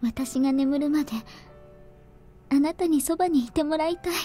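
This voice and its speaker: High, soft, lonely young woman, acted by a woman. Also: fast, natural voice. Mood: wistful, weary. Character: frail lonely young woman, quiet and resigned to death. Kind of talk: Japanese visual novel voice acting. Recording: background music behind the voice.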